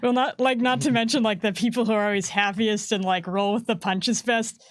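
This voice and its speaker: A woman's voice: on the high side, fairly even intonation, brisk, dry recording. Chatty woman with frequent laughs. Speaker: game writer co-host. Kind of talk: casual livestream chat.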